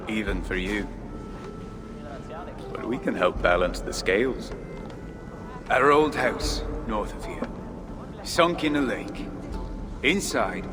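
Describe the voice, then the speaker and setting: A man playing a sour, shifty dwarf rogue in a video game, with a sardonic, grumbling delivery. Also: mid-range voice. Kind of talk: video game cinematic acting. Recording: music under the voice. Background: cinematic game music.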